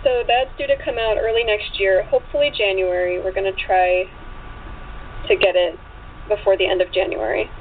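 A woman's voice: medium pitch, fairly even intonation, moderate pace. A woman chatting casually and effusively as an interview guest, friendly in manner. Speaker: interview guest, author and actress. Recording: tinny phone line with faint noise.

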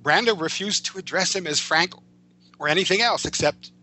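A man chatting as a podcast guest, telling anecdotes in a halting way, often repeating words as he searches for the next one. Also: high voice, pushed and bright, rough recording. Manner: halting, stammering over words as he recalls things. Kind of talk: casual chat, telling showbiz anecdotes. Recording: hum and background noise.

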